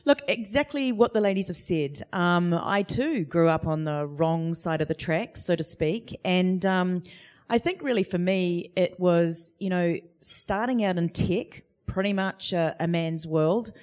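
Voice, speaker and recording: A woman speaks on a live panel before an audience. Her voice sits low for a woman, and she talks fluently, earnestly and reflectively. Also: unhurried, darkish tone. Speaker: tech executive on a panel.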